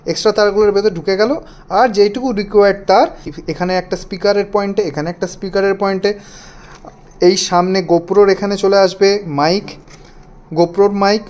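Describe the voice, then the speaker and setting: A man's voice: high-pitched, darkish tone. A man giving a casual, explanatory hands-on product demonstration, talking straight to his viewers. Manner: explanatory, addresses viewers directly. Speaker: motovlogger reviewing gear. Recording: echoey room, faint background noise.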